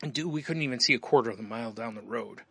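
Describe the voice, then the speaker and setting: Bright-voiced man rambling through a story. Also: mid-range voice, racing, vocal fry. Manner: rambling storyteller, many fillers, laughs often. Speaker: podcast co-host. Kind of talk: casual chat.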